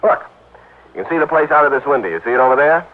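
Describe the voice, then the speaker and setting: A man's voice: mid-range voice, lively intonation, fast talker, narrow, tinny audio, lightly acted. An American actor playing a chummy, grumbling, folksy married insurance man in a 1950s radio drama. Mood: confiding, grumbling. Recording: old band-limited radio broadcast recording.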